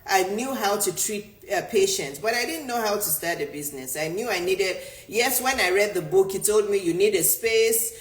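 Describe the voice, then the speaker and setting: A woman's voice: medium pitch, animated, normal speed, hardly any pauses. A middle-aged woman business coach from Nigeria, a native Yoruba speaker, giving an emphatic motivational talk in which she repeats her points for stress. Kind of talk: motivational talk answering a viewer. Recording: roomy echo.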